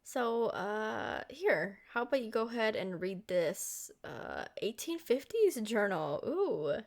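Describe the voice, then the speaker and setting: A woman's voice: mid-range voice, sing-song, takes it slowly. A woman voicing a centuries-old, doting and possessive vampire girlfriend in an intimate, coaxing ASMR roleplay. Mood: tender, pleased. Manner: coaxing, affectionate, speaking directly to a lover.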